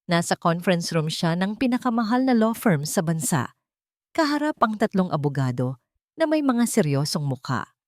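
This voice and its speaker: A woman's voice: fairly low pitch, very animated, moderate pace, darkish tone, dry recording, studio quality. A woman giving scripted, dramatic narration of a story as its narrator.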